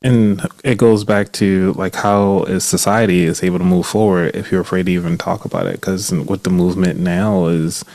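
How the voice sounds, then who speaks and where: A man's voice: deep voice, little pitch movement, normal speed, hardly any pauses, darkish tone, no room sound. A man hosting a podcast, reflecting aloud in conversation with his guest. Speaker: podcast host. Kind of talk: conversational interview.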